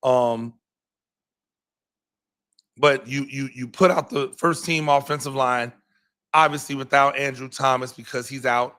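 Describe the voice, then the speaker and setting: A hoarse man gives his postgame football reaction, his voice bright and forceful despite the strain. He rambles, circles back and repeats his words with frequent ums, talking like a coach breaking down a game. His pitch sits in the middle for a man. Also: steady tone, measured pace, pauses often. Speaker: podcast host and high school football coach.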